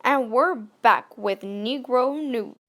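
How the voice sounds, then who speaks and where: A woman playing a poised 1920s radio news anchor, reading from a script with a creaky voice. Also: fairly high pitch, slow, fairly bright tone, a little hiss or hum. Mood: presentational. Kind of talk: scripted amateur radio news role-play.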